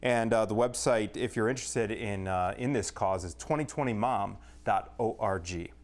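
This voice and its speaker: A man's voice: lowish voice, normal speed. A man working as a news correspondent, giving a live report to the anchor.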